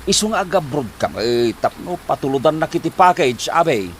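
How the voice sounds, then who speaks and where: An actor playing a scheming husband who coaxes his wife to work abroad, speaking with an Ilocano accent in a lively, pushy way. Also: on the high side, moderate pace, a little hiss or hum. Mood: coaxing. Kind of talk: comedy drama acting.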